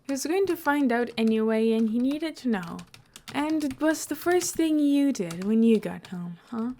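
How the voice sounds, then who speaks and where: A woman reading the part of a smooth, nonchalant man who leads a team in an audio story. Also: on the high side, expressive, normal speed, warm tone, pitched above the performer's own voice. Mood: teasing. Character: smooth, nonchalant male leader, welcoming and persuasive.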